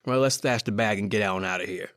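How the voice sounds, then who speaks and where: Actor as a slangy small-time crook, dry close sound. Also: male voice, on the low side, fast talker, a bit bright, no room sound, close to an everyday voice. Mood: brash, impatient. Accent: American. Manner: slangy, colloquial, conversational. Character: cocky wisecracking small-time male crook telling his own eerie tale. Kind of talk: old-time radio horror acting, first-person narration and dialogue.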